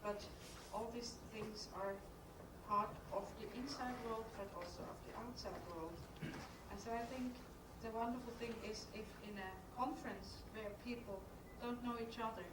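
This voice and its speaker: Distant woman in an echoey hall. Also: on the high side. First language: German. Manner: measured, poetic reading with halting, hesitant asides. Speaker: conference lecturer. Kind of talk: reading a prepared lecture aloud, then speaking freely. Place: conference studio hall. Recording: echoey hall from far off, noisy.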